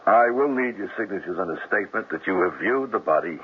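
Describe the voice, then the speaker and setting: An actor playing a matter-of-fact homicide sergeant in a radio drama, speaking in a brisk, businesslike, polite way. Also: male voice, low voice, expressive. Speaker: police sergeant. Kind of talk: 1970s radio drama acting. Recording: dull old radio broadcast with clear hiss.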